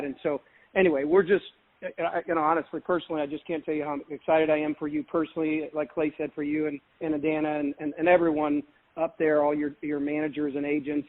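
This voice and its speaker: A man from St. Louis, a podcast guest, who teases the host and then offers earnest congratulations. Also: on the high side. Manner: teasing, earnest. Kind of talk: casual congratulatory chat. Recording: phone-like call audio.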